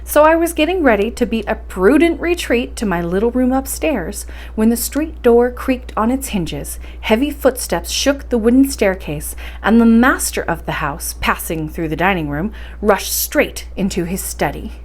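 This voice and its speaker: A woman reads and presents stories for a library bedtime session. Her pitch moves around in a lively way, and her delivery is expressive and encouraging. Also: normal speed. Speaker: library storytime reader. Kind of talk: bedtime story reading and introduction.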